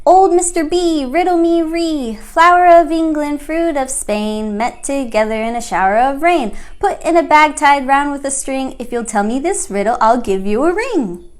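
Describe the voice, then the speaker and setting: An impertinent young squirrel from a children's storybook, played by a woman reader. The voice is raised high and lilts through riddles like a taunting playground chant. He is playful and rude, with a teasing sing-song delivery. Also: animated, moderate pace, hardly any pauses. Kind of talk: children's storybook read-aloud.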